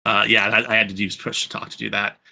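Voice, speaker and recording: A man's voice: on the low side, little pitch movement, quick pace, vocal fry, sharp tone. A man in a casual chat, rambling and often restarting his sentences. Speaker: podcast panelist and fandub voice actor.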